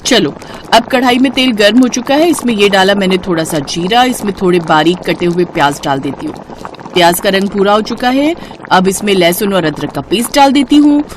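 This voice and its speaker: A woman voicing a cheeky, bossy, sharp-tongued young bride-to-be in a cartoon story. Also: mid-range voice, lively intonation, brisk, noisy background, close to an everyday voice. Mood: matter-of-fact.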